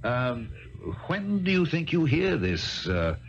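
Fake-friendly older stepdad in old radio play, swoopy voice, slightly noisy tape. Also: male voice, on the high side, very animated, slow pace, heavily acted. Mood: soothing, dismissive. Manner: patronizing, soothing. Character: smooth, falsely genial older stepfather. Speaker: actor. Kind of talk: 1970s radio drama acting. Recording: dull, noisy archived radio broadcast.

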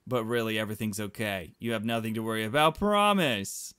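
A man's voice: on the low side, a little fast, fairly bright tone, smooth, no echo, clean studio sound, close to an everyday voice. A man voicing a flippant, evasive teenage schoolgirl from a visual novel, with breezy, exasperated teen talk. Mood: evasive, reassuring. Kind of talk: visual novel character read aloud. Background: game music.

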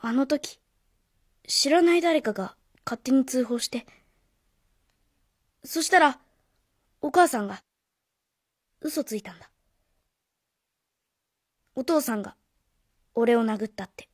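A woman voicing a troubled, hesitant schoolboy in a radio drama, speaking haltingly in short phrases. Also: high-pitched, animated, no echo, studio quality, heavily acted. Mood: sad, confessing. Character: troubled, hesitant schoolboy protective of his father. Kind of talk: Japanese radio drama acting. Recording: faint music behind the voice.

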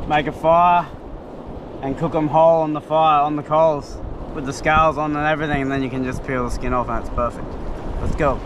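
A man's voice: on the high side, fairly quick. A young man filming himself fishing from a tropical beach, exclaiming and laughing as fish bite, recorded on a head-mounted action camera. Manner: excitable, exclaiming, laughing often. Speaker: fishing vlogger. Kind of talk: excited fishing commentary. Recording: wind noise on outdoor camera mic.

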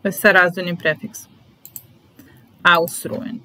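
A woman, teaching vocabulary in a deliberate, repetitive, instructional way. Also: on the low side, little pitch movement, sharp tone. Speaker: language teacher. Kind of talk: vocabulary lesson, word-by-word dictation with repeated phrases. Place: small room indoors. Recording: background noise with keyboard typing.

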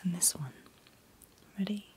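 A middle-aged woman speaking in a gentle, breathy whisper in short soft phrases, as a doctor in an ASMR roleplay. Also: lowish voice, measured pace, slight background noise, low-quality recording. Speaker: ASMR performer playing a doctor.

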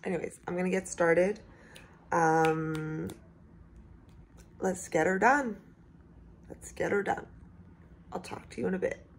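A woman in her twenties with a slightly hoarse voice, chatting casually in a vlog with frequent asides, recorded on a phone indoors. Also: lowish voice, slow pace, long pauses, a little hiss or hum. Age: 20s. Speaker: vlogger. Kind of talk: casual vlog chat to camera. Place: small living room indoors, on a couch. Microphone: phone at arm's length.

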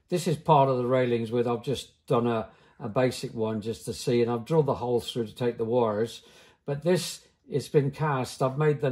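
A man's voice: medium pitch, darkish tone, breathy edge. Older silversmith explaining at his workbench. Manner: practical, plain-spoken, step-by-step. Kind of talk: workshop demonstration, explaining as he works.

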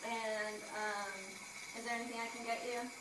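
A young woman, a respiratory therapy student, role-playing a clinician who calmly explains each step to a patient. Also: on the high side, normal speed. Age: young adult. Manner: calm, reassuring, explaining step by step. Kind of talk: role-play of a clinical procedure. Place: hospital training lab. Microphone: far camera. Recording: echoey room from far off, noisy.

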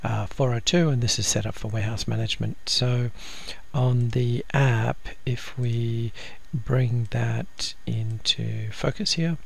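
A man presenting a software tutorial as a screencast voice-over, explaining informally step by step. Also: mid-range voice, fairly even intonation, a little slow, gravelly, a little hiss or hum. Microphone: screen-recording voice-over.

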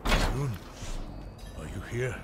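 An actor playing a grim, steady adventurer hero in a video game, speaking in a terse, purposeful way. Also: male voice, mid-range voice, slow pace. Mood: steady, serious. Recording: loud game music over voice. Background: loud music and game sounds.

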